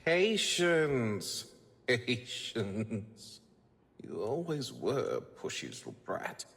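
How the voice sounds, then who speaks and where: An actor playing a gloating, obsessive mad scientist in a gothic audio drama, theatrical, taunting and sneering. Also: male voice, medium pitch, wildly swooping pitch, very slow, gravelly, a bit bright, exaggerated voice. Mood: mocking. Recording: long hall-like reverb.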